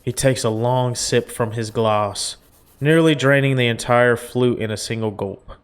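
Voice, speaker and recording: A man's voice: medium pitch, measured pace, clean tone. A young man reading a visual novel aloud and reacting to it with informal, slangy commentary. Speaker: gaming video host. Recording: music playing behind.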